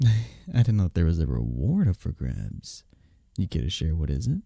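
A man playing a sleepy, affectionate, playful husband in bed, speaking softly and teasingly in an intimate ASMR roleplay, close to the mic. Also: deep voice, huge pitch swings, vocal fry, slightly rough, no echo, full character voice. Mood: teasing, relaxed. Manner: soft, drowsy, teasing, unhurried. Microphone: close, dry microphone.

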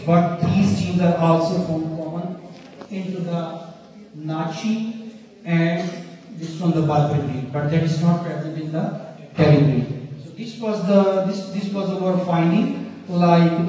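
A young man with a Pakistani English accent giving a keynote science talk at a podium, halting with many fillers. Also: sits high, warm tone. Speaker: keynote speaker. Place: large auditorium, at a podium. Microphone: podium mic, recorded from the audience. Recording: roomy hall, distant dull phone recording.

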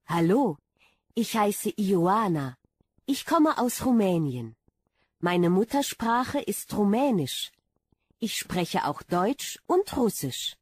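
A woman voicing a lively, breathy student. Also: mid-range voice, slow pace, heavily acted. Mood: careful. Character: young woman student introducing herself. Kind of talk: slow scripted model sentences.

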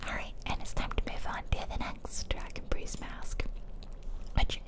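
A woman softly whispering playful, chatty ASMR commentary over a video game, close to the mic. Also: measured pace. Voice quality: soft breathy whisper. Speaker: gaming host. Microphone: close stereo mic, ear-to-ear.

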